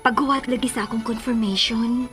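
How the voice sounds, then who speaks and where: A woman's voice: a little high, fairly even intonation, a little fast, over music, close to an everyday voice. An actress playing a coy woman in love, reluctant but smitten, in a radio drama. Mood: teasing, guarded.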